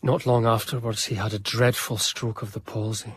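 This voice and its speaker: A man narrating a radio drama in a measured, formal period style. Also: lowish voice, flat delivery, breathy edge, no room sound.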